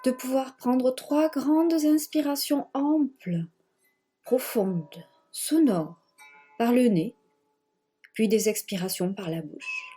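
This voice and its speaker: A woman leading a guided yoga nidra relaxation in a gentle, measured manner, leaving long pauses and repeating phrases. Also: a little high, faint music behind. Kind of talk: guided meditation, slow spoken relaxation.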